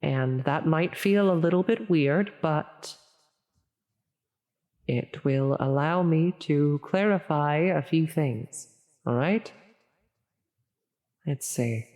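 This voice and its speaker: A woman's voice: low voice, darkish tone. A woman playing a flustered, well-meaning female demon tempter in an ASMR audio roleplay, hesitant and stammering as she coaxes. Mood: hesitant, studious. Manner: hesitant, halting, stammering, coaxing. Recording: long reverberant echo on the voice.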